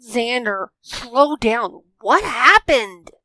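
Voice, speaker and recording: Male reader as determined young woman, much raised pitch, bright tone, earnest, storybook character, dry. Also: very animated, drawn-out words, no room sound, full character voice. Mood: concerned. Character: determined, caring young woman, childhood friend.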